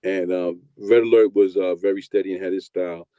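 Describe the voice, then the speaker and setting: Deep mellow new york guy in his 50s, laid back storytelling, dry close sound. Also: brisk, no room sound, lo-fi sound. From New York, USA. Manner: relaxed, rambling, repeats words at starts. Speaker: guest, producer and DJ. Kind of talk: casual interview storytelling.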